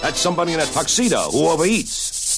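Joking, animated Army buddy. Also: male voice, fairly high pitch, fast talker, over music. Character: joking, wistful middle-aged Army buddy. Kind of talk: comic radio announcement sketch.

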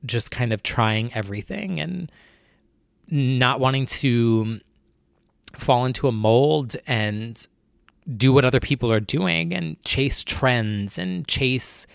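A man's voice: mid-range voice, creaky, clear, resonant tone, no echo, clean studio sound. A young man hosting his podcast solo, talking casually and candidly in a nervous, rambling way, his voice sounding a bit strained. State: voice feeling strained. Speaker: podcast host.

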